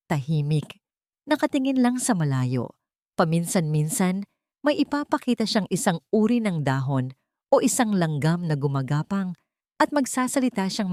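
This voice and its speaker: Female narrator for audio drama, lowish mellow voice, dry close studio sound, dramatic scripted read. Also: no echo.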